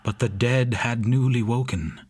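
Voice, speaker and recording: An actor playing an anguished reanimated monster, a man reciting his story gravely in measured horror verse. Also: mid-range voice, a little mellow, a little hiss or hum, lightly acted. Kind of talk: dramatic horror monologue.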